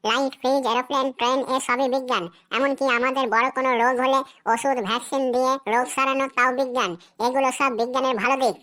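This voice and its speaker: A woman's voice: high voice, flat delivery, quick pace, hardly any pauses, fairly bright tone. A woman voicing a cocky schoolboy in a cartoon who rattles off a memorized essay in a sing-song recital. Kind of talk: cartoon voice acting.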